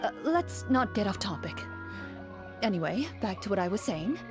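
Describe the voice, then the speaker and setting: A woman playing a composed, articulate game master who narrates with measured authority in a video game. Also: mid-range voice, sing-song, over music, lightly acted. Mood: composed, narrating.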